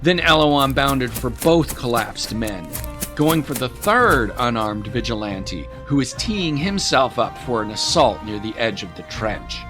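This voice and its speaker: A man's voice: mid-range voice, big pitch swings, a little slow. Male audio drama narrator over music. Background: music bed.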